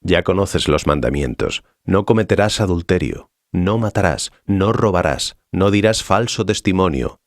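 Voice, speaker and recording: An actor playing a solemn, authoritative male teacher in a dramatized scripture reading, speaking in a measured, didactic way. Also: sits low, animated, dry recording, studio quality. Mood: solemn authority.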